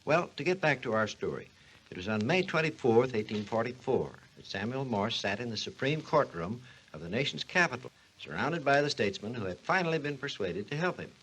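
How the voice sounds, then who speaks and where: A man's voice: mid-range voice, big pitch swings, a little fast, fairly bright tone, poor audio. A man playing a learned doctor who narrates and explains in formal, instructive 1940s radio style. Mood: authoritative, instructive. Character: authoritative male doctor explaining history and science. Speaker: narrator and expert.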